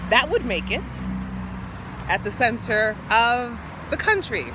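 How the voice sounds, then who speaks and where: High swoopy woman's voice doing improv poetry outside, halting dramatic phrases, faint street noise. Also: big pitch swings. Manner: theatrical, halting short phrases with repeated words. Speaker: poet. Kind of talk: improvised spoken-word poetry monologue. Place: outdoors, standing or walking.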